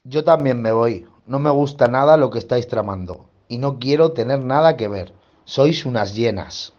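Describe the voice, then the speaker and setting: A man's voice: medium pitch, lively intonation, normal speed, a little mellow, lightly acted. A man from Spain playing a blunt, mocking, principled hippie youngest brother in his thirties in a radio soap. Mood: disapproving. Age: 30s. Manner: blunt, disapproving. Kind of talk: amateur radio soap acting. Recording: dull lo-fi sound.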